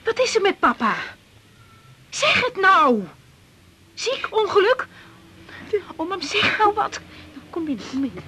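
A woman's voice: very high voice, sing-song, a little slow, long pauses, background noise, heavily acted. An actress with a Netherlands Dutch accent playing an insecure, outspoken young woman doctor in a radio drama. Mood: anxious. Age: young adult. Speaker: lead actress. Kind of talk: 1980s radio drama acting.

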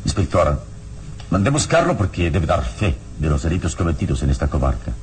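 A rumbling, noisy vintage radio recording carries a serene, mysterious hero. His voice is very low and swings expressively, with courteous, measured, theatrical phrasing and calm confidence. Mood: grave. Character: serene, enigmatic oriental-robed male hero, courteous and confident. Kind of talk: radio drama acting.

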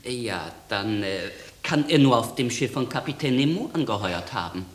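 Actor as a flustered manservant, medium-pitched. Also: male voice, lively intonation, moderate pace, lightly acted. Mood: earnest. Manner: flustered, exclamatory. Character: excitable, resourceful manservant to an English gentleman. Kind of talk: German radio drama acting.

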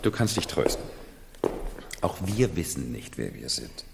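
A man's voice: rumbling low, big pitch swings, measured pace, vocal fry, very noisy, full character voice. A man acting a world-weary hired retainer in a 1970s German radio play, declaiming and explaining theatrically. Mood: explaining, resigned. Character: world-weary hired retainer in a madman's mock imperial court. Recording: reverberant hall, 1970s radio production.

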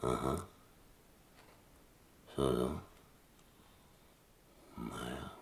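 A gruff ship's captain in a radio drama, with an extremely deep voice. He talks in short, colloquial bursts, sardonic and grumbling. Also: fairly even intonation, drawn-out words, exaggerated voice. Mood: grudging, pondering. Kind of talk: German radio play acting.

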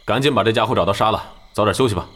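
A man's voice: on the low side, rapid-fire, fairly bright tone. A man voicing a brusque, hot-tempered young male gamer who barks curt orders in an audio drama. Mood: commanding. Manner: curt, commanding.

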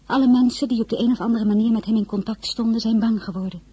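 An earnest young merchant's daughter who tells her own story in a radio play. her voice sits high and mellow. she speaks with feeling. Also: steady tone, quick pace, slightly rough, no room sound. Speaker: narrator and lead character. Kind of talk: radio drama acting and narration.

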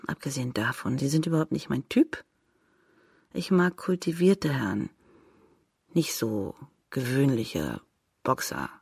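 A young courtesan in a radio drama, proud and scornful. Her voice is husky and creaky, recorded close and dry. She speaks frankly, with a cynical, dismissive edge. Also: rumbling low, sing-song, measured pace, pauses often, a little mellow, dry recording, full character voice.

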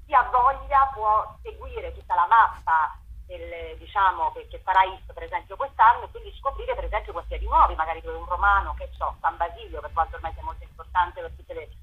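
Low-voiced woman on a tinny phone line. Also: animated, brisk. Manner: thoughtful, explaining at length. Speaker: festival curator. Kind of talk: radio interview guest. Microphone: telephone.

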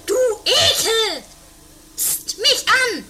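Snippy, nagging sister with an extremely high voice woman acting. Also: very animated, extremely slow, heavily acted. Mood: offended. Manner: snippy, theatrical. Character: anarchic, nagging woman, the other bickering sister. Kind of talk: amateur comedy radio play acting.

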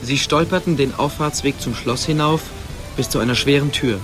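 A man's voice: mid-range voice, moderate pace, music in the background. A man narrating a scripted fantasy radio play as its storyteller. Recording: clear background ambience noise.

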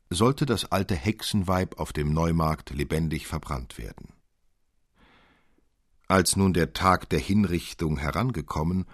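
A man narrating a literary tale in an expressive, deliberate, old-fashioned storytelling manner. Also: low-pitched, no room sound, clean studio sound. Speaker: audiobook narrator.

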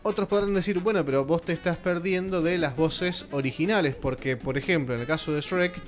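A man's voice: a little high, fairly quick, soft background music. A man with an Argentine Spanish accent, co-hosting a casual radio panel and explaining at length with many fillers. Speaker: radio show host.

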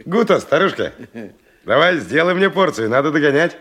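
An actor playing a tough, brash, hard-drinking ex-convict in a radio drama, curt and commanding in slangy speech. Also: male voice, on the high side, very animated, normal speed, a bit bright. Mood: boisterous teasing. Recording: noisy old radio play recording.

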